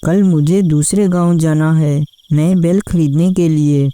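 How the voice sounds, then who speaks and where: A woman's voice: low voice, fairly even intonation, unhurried, dark tone, no room sound. A woman voicing a hardworking, kind-hearted farmer husband in a cartoon story, speaking in an earnest, plaintive way. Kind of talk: scripted cartoon story acting. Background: background music.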